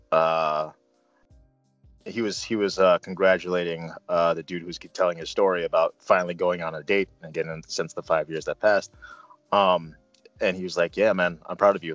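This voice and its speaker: A man with a very low voice hosts a casual film chat. He talks thoughtfully and haltingly, with a fan's enthusiasm. The sound is dry and a bit dull, with music behind him. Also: a bit bright, no echo. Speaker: movie review show host.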